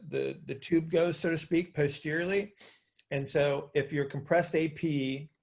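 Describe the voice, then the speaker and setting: A man with a creaky voice, casually explaining as a coach hosting a group call, often checking that listeners follow. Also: a little high. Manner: informal, hands-on, checks understanding with short tag questions. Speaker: host and coach.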